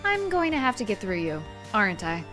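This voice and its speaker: A woman's voice: medium pitch, big pitch swings, brisk, over music, close to an everyday voice. A woman playing a cocky female fighter, taunting and eager for a brawl, in an audio drama.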